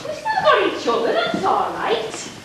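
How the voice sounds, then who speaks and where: A woman's voice: very high-pitched, wildly swooping pitch, unhurried, heavily acted. A woman playing a sarcastic, squabbling comic henchwoman in an amateur stage pantomime. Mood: dismissive. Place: church pantomime stage, far camera. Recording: echoey hall from far off.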